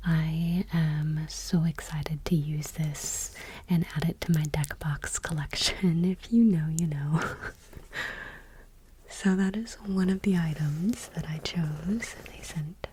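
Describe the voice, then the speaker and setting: A woman whispering gently and unhurriedly in a soft-spoken ASMR style, close to the microphone. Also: sits low, fairly even intonation, slow pace, slight background noise. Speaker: ASMR creator and host.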